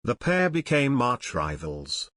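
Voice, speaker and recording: A man reading scripted passages aloud in a measured, neutral way. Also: mid-range voice, wildly swooping pitch, a bit bright, no room sound, clean studio sound. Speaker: narrator reading practice passages. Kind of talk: scripted read-aloud narration.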